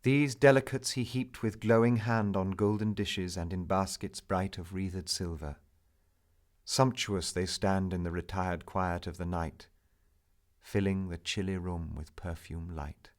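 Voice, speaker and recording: Slow, low-voiced man reciting romantic verse. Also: steady tone. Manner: solemn, theatrical, measured delivery. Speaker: narrator reciting poetry. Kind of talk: dramatic recitation of a romantic narrative poem.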